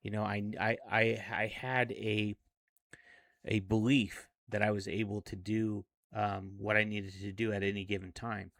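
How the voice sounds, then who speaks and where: A man of forty speaking in a casual, reflective vlog monologue, earnest and a little halting. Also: lowish voice, little pitch movement, gravelly, a bit bright, no echo, studio quality. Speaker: self-improvement vlogger.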